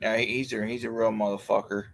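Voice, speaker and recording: A man's voice: fairly low pitch, flat delivery, gravelly. A man hosting a live stream, chatting in casual crude banter, easygoing and swearing freely. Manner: easygoing, swears a lot, quick to laugh.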